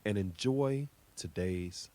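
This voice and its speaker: A man's voice: fairly low pitch, takes it slowly, dark tone. A man introducing a children's story time in a welcoming, encouraging manner, addressing young listeners as host.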